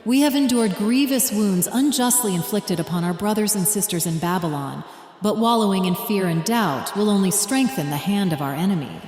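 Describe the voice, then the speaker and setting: A woman's voice: medium pitch, animated, darkish tone, close to an everyday voice. A woman playing a devout, fervent religious leader in an audio drama, with solemn, declamatory delivery. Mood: rousing, solemn. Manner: solemn, declamatory, deliberate oratory. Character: fervent, devout female religious leader rallying her people. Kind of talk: first-person audio drama narration with speeches and prayer. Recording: echoey hall reverb, ambient noise behind.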